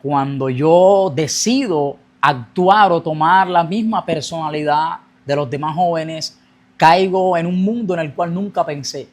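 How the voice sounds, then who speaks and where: A young man tells his personal testimony in an earnest storytelling voice. His voice sits a little high for a man, and he rushes forward whenever the memories get intense. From Colombia. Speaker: guest giving a testimony.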